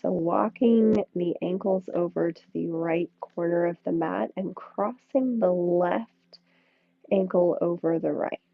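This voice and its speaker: A woman leading a yoga class with slow, soothing guided instruction, speaking in short phrases with long pauses. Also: lowish voice, gravelly, no room sound. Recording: thin, phone-like band-limited sound, dry.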